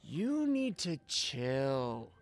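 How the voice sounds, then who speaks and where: A man's voice: mid-range voice, huge pitch swings, drawn-out words, no room sound, full character voice. A man playing a laid-back young stoner video-store clerk who drawls in slacker slang in an audio drama. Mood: sluggish, defensive.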